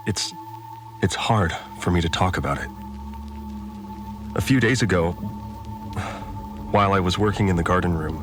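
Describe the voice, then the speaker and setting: A man playing an anxious, apologetic, guilt-ridden farmer in an audio drama, confessing in a hesitant, halting way. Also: low-pitched.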